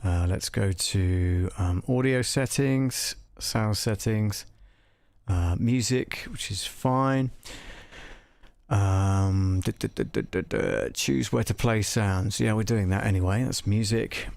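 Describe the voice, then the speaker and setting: A man hosting a live software walkthrough, talking casually and tentatively, thinking aloud as he tests things. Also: fairly low pitch, takes it slowly, no echo. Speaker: livestream host demonstrating audio software.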